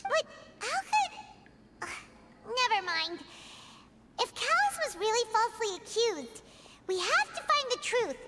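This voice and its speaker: A woman voicing a tiny, excitable, loyal childlike fairy companion in an anime video game. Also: very high-pitched, unhurried, long pauses, heavily acted. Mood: earnest. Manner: speaks of self in third person.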